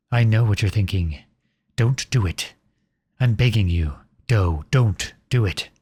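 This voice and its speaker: A male actor voices a runaway prince turned outlaw in a dramatic roleplay. His voice is on the low side and very husky, recorded dry. He speaks with earnest, imploring nobility and an anguished edge. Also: a little mellow, no room sound, heavily acted. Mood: anxious pleading. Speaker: audio roleplay performer. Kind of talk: dramatic ASMR roleplay acting.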